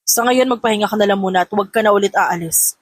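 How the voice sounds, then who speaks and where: A woman voicing a reassuring male doctor with gentle authority and a measured manner. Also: medium pitch, no echo, clean studio sound, close to an everyday voice. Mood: calm, explaining.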